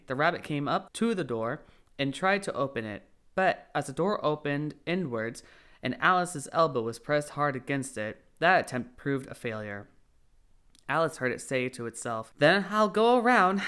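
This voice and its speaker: Audiobook narrator: male, expressive storybook read with animated, wide-ranging intonation. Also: mid-range voice, normal speed, clean tone.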